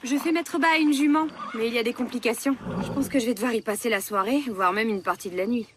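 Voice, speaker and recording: A woman voicing a busy, brisk country vet and mother in an animated cartoon, no-nonsense in manner. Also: on the high side, noisy background, close to an everyday voice. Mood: hurried.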